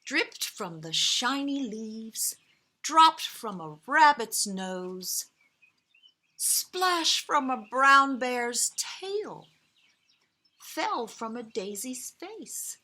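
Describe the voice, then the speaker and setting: A woman with a bright, fairly high voice reads a children's story outdoors as birds chirp. Her delivery is slow and expressive, with dramatic pauses and stressed words. Now and then she speaks gently and directly to her young listeners. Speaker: storyteller reading a picture book to children. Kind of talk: expressive children's read-aloud.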